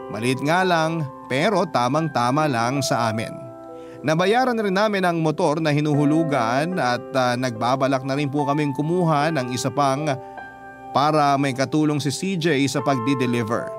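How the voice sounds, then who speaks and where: A man's voice: on the high side, normal speed. A man with a Filipino accent, a radio host reading a listener's letter as scripted first-person storytelling. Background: music under the voice.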